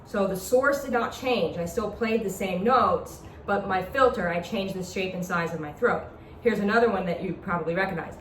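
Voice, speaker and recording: A woman's voice: mid-range voice, normal speed, fairly bright tone. A woman instructor explaining step by step in an informal, demonstrative lecture style. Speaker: instructor demonstrating a talk box. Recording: echoey, distant mic with background noise.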